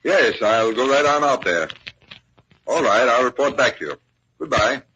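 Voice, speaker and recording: An actor playing a confident magician detective who takes charge, in 1940s radio drama. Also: male voice, mid-range voice, expressive, normal speed, pushed and bright. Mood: calm, commanding. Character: confident male magician detective, brisk leader. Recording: noisy vintage 1940s radio recording.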